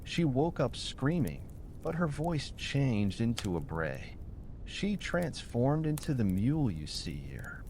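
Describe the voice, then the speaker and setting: A man's voice: medium pitch, big pitch swings, slow, a little mellow, a little hiss or hum. A man voicing a wronged old man who tells his tale formally and earnestly in a bedtime storybook.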